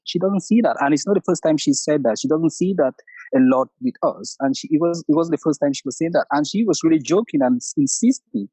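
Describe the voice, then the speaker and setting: A middle-aged man from Cameroon with a Cameroonian accent, calling in to tell a personal story in a halting way with many restarts. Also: fairly high pitch, dark tone, breathy edge, dry recording, low-quality recording. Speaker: caller. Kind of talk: personal story on a call-in show.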